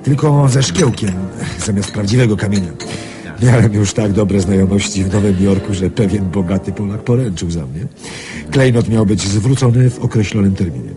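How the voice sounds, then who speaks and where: An actor playing a sly, smug card-sharp recounting his scheme in a radio drama. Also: lowish voice, moderate pace, lightly acted. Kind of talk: radio drama acting, storytelling. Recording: music playing behind the voice.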